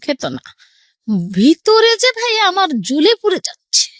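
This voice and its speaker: A woman's voice: very high voice, moderate pace, dry recording, studio quality. A middle-aged woman with a Bangladeshi accent telling her personal story in an interview, acting out what people said as she goes. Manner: animated, quotes people's words as she recounts.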